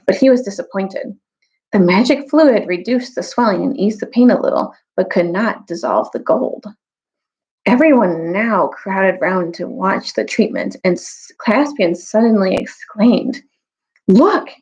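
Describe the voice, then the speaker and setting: A woman storyteller giving an expressive read-aloud of a children's fantasy novel. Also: medium pitch, measured pace. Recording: dull, lo-fi audio.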